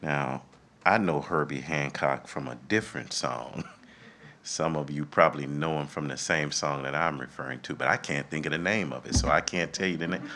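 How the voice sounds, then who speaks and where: A middle-aged man emceeing a live event, speaking in measured, deliberate phrases. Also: very deep voice, pushed and bright. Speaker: event host. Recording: live event with faint background noise.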